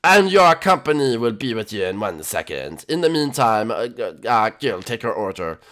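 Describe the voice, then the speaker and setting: A man voicing a bossy, scheming young turtle monster boy in an amateur comedy skit, stammering and excitable. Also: on the low side, normal speed, lightly acted. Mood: fussy, bossy.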